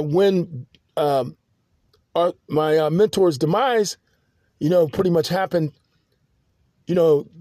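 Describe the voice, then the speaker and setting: A man hosting a podcast, telling a long personal story in a halting, rambling monologue full of restarts and fillers. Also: fairly high pitch, mellow, no room sound, clean studio sound. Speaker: podcast host.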